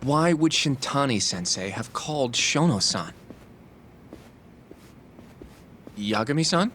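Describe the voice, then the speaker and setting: A man playing a soft-hearted, informal young sidekick in a video game. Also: medium pitch, lively intonation, moderate pace, slight background noise, natural voice. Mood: puzzled. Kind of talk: dramatic video game dialogue.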